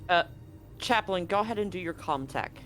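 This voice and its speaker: A man narrating a live tabletop game as game master, conversational and often halting to correct himself. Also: high-pitched, expressive, a bit bright. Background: music.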